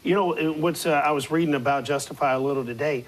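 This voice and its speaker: Man, 40s, sports show host, slightly high voice, hoarse, rambling questions, lapel mic, studio. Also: fast talker, poor audio. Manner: asks long rambling questions. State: losing his voice. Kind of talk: studio interview. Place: TV studio with city skyline backdrop.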